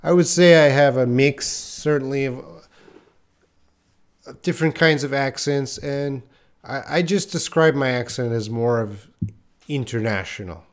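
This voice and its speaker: A man in his thirties from Western Canada with a light Canadian accent, patiently answering viewers' questions in a live English lesson. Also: medium pitch. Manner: slow, patient explaining with many pauses. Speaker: English teacher. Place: small indoor room by a whiteboard.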